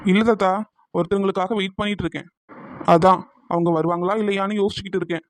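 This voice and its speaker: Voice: mid-range voice, steady tone, fast, close to an everyday voice. A performer voicing an earnest, bashful young man in love, tender and reassuring, in a cartoon romance. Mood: bashful, worried.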